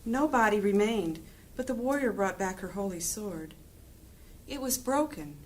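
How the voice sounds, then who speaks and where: A woman's voice: medium pitch, animated, unhurried. A woman playing a kindly, plain-spoken villager in a fantasy audio drama, motherly and homely in her phrasing. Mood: sorrowful, gentle.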